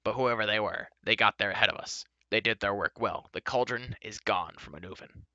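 A gruff little fair-folk creature who snaps and blusters at everyone, as a man puts him on for a storybook reading. The voice is loud, bright and tense, and the dull, dry recording sits close. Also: on the low side, fairly quick, no room sound. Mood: irritated. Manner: snappish, blustering.

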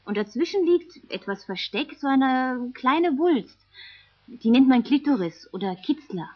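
A woman's voice: high-pitched, animated, measured pace. A woman playing a patient, frank, affectionate mother in an educational radio play for children, explaining things plainly on a lo-fi tape. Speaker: actor in a children's audio play. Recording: dull lo-fi tape recording.

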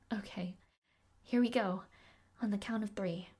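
A woman's voice: mid-range voice, steady tone, slow, breathy edge. A woman playing a devoted young maid of noble birth in an audio roleplay, speaking politely and formally, with shy hesitations. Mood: gentle, wistful. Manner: polite, soft-spoken, flustered hesitations, formal diction. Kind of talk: audio roleplay addressed to the listener.

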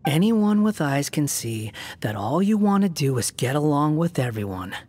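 An actor playing a blunt, aloof young man in a video game, talking in a dry, measured way with a hidden soft side. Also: on the high side, big pitch swings, husky. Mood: blunt, sympathetic. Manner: measured, dry, quietly sympathetic. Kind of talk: video game dialogue acting.

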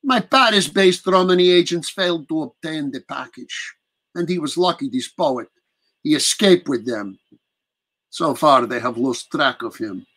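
A man voicing a slow, imperious old vampire prince. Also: on the high side, expressive, fairly bright tone. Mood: cold. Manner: slow, deliberate, commanding. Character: aged aristocratic male vampire prince, menacing.

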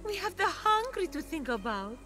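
A woman's voice: very high-pitched, very animated, normal speed, pushed and bright, full character voice. A woman voicing a sweet, reformed goddess trapped as a tree in a video game, gracious and theatrical, with saintly sincerity. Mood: earnest, virtuous. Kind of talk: video game character acting. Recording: music behind the dialogue.

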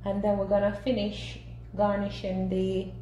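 A woman giving a casual, step-by-step home recipe demonstration in simple, unhurried instructional talk. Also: medium pitch, completely flat delivery, warm tone. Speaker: home cooking vlogger. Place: small home kitchen, indoors. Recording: roomy echo, clear background noise.

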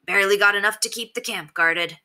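A woman's voice: mid-range voice, a little fast, sharp tone. A woman playing a weary, dutiful older male bandit in an audiobook story, plain-spoken with rustic phrasing. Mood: grim report.

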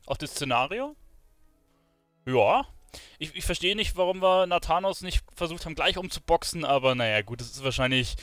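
A man's voice: fairly high pitch, expressive, moderate pace, fairly bright tone, dry recording, faint music behind. A man giving casual let's play commentary as a gaming streamer.

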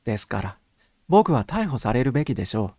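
A taciturn young gentleman, voiced by a male reader, nervous and restrained. His speech is formal and medium in pitch, in a dry storybook recording. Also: big pitch swings, brisk, husky, no echo. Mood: tense, defensive. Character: taciturn, nervous young man under suspicion.

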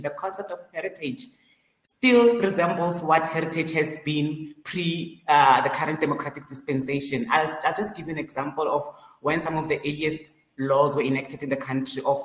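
A woman's voice: deep voice, moderate pace, a bit bright. A woman, a panelist explaining her views at length on an online panel over a video call. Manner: explanatory, rising emphasis. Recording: roomy echoing video call.

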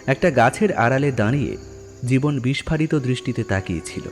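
A man's voice: medium pitch, lively intonation, a little fast, over music. A man giving a dramatic scripted narration of a horror story.

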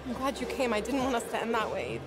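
A woman's voice: on the high side, big pitch swings, fast, loud music behind the voice. An actress playing a sincere young woman athlete saying farewell in a video game cutscene. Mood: wistful, tender. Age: young adult.

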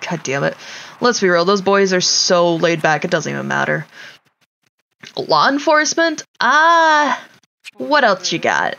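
A woman's voice: lowish voice, very animated, measured pace, gravelly, sharp tone, highs cut off. A woman giving casual, wry gameplay commentary, talking to her game characters as she plays. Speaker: gaming streamer.

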